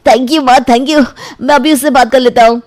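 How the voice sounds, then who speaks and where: A woman's voice: high-pitched, steady tone, moderate pace, darkish tone, dry recording, voice pushed up, lightly acted. A woman voicing an earnest young man eager to marry, coaxing and reassuring in a storybook tale. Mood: happy, eager. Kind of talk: animated storybook dialogue.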